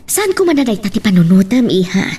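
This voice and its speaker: Husky, expressive mother played by a woman. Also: mid-range voice, fairly quick, distant mic. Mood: patient, explaining. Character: patient mother explaining things to her grown daughter. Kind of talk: Ilocano radio-style drama acting.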